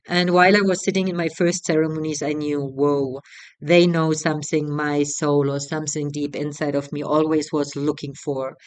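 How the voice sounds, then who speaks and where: A woman in her thirties with a German accent, a retreat facilitator talking casually, halting and repeating words as she goes. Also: low-pitched, clear, resonant tone. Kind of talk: casual spoken promotional talk.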